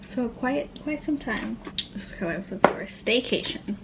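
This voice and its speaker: A woman's voice: fairly high pitch, expressive, normal speed, creaky, heavy background noise. A woman in her late twenties from Tacoma, Washington, chatting candidly during a makeup Q&A, full of "like" and slang. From Tacoma, Washington, USA. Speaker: beauty vlogger. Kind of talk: casual get-ready-with-me Q&A chat.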